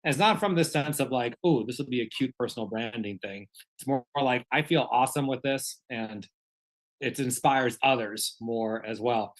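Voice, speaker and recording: A man's voice: medium pitch, moderate pace, low-quality recording. A man casually hosting a group video call in an encouraging manner. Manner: encouraging, addresses group as gang. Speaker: host of an online community gathering.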